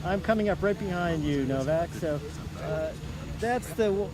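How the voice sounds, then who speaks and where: A man who is losing his voice explains drone rules with lots of "uh"s and pauses. His voice sits a little high. Loud traffic noise fills an outdoor park around him. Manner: explains step by step with many fillers. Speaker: drone pilot guest. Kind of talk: live outdoor interview. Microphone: phone livestream.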